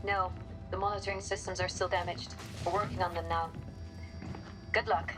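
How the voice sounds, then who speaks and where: An actress playing a serious, composed spaceship officer in a video game, speaking in a measured, formal way. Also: female voice, fairly low pitch, level tone, natural voice. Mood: businesslike. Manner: measured, formal, matter-of-fact. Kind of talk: cinematic video game acting. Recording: reverberant room, music underneath.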